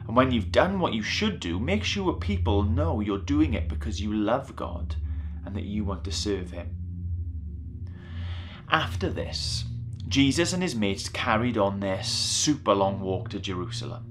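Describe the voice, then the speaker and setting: A man reading a children's Bible story in a chatty, playful, animated way. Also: on the low side, a little slow, background noise. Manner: chatty, playful, acting out voices. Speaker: story reader. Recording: music behind the voice. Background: music.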